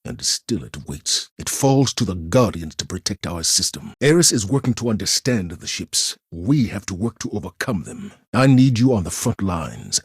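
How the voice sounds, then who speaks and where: An actor playing a stern, weary commander in a video game, speaking gravely and formally in measured phrases. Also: male voice, sits low, very animated, dry recording, clean studio sound, heavily acted. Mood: grave, resolute. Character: stern, weary, dutiful male military commander. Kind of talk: dramatic video game voice acting.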